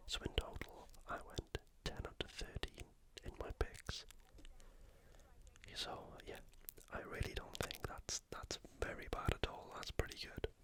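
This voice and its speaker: An ASMR host speaking entirely in a breathy, unvoiced whisper, giving recap commentary in a deliberate way that often repeats phrases.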